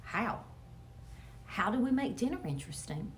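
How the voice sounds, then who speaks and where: A playful third-grade boy from a storybook performed by a woman. He sounds eager and teasing, with very animated pitch and faint background noise. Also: normal speed, lightly acted. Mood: curious, puzzled.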